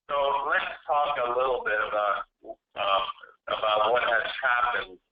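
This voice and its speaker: A middle-aged man giving a semi-formal financial presentation on a conference call, explaining haltingly and often rephrasing as he goes. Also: medium pitch. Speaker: investment chair presenting. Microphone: conference call line. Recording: dull, lo-fi phone conference audio.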